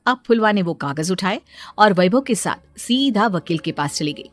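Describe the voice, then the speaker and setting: A woman's voice: mid-range voice, lively intonation, normal speed. Woman narrating a cartoon story.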